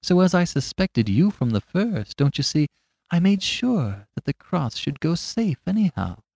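Timid little rustic priest, played by a man, higher voice, gently apologetic, dry close read. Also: very animated, unhurried, vocal fry, breathy edge, dry recording, full character voice. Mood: mild. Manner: mild, apologetic, eager. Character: small, timid, vague country priest.

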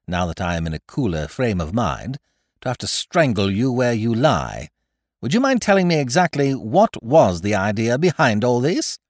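A man's voice: mid-range voice, a little mellow, no room sound, studio quality, pitched above the performer's own voice, lightly acted. A man voicing an exasperated male novelist in a comic story, sardonic, indignant and drily wordy.

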